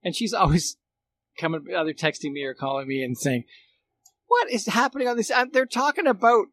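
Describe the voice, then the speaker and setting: A man's voice: sits high, lively intonation, a little fast, fairly bright tone. A middle-aged Canadian man telling anecdotes as a guest in casual conversation, repeating himself as he goes. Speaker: guest, former radio colleague.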